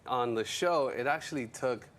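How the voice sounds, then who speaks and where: A man's voice: medium pitch, moderate pace, fairly bright tone. A man talking casually as an interview guest, easygoing and telling stories in a conversational way. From Colombian background. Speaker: guest, music executive and show creator. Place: TV studio.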